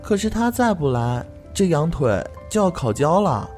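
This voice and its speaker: A man's voice: on the high side, sing-song, moderate pace, darkish tone, soft background music. An actor playing a cheerful, easygoing young man from a clan who chats playfully in a fan audio drama. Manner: chatty, playful.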